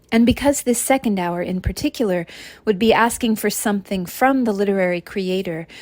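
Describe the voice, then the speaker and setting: A woman giving a prepared, measured spoken answer as a contributor to an academic program. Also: mid-range voice, a little mellow, no echo. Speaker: contributor answering a question on African studies.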